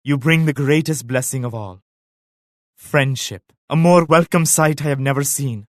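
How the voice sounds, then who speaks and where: An actor playing a devout, solemn emperor and military leader in a strategy game, with measured, grave, pious declamation. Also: male voice, mid-range voice, no echo, studio quality, lightly acted. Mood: dignified, devout. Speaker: video game character voice actor. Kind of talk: scripted strategy game voice lines.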